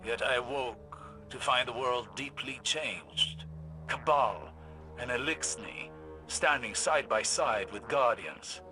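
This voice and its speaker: An actor playing a proud, weary and reflective warrior of a forgotten age in a video game, with formal, brooding, deliberate speech. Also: male voice, medium pitch, bright, forceful tone, faint music behind, poor audio. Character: weary ancient warlord man, reflective and proud. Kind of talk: dramatic game dialogue.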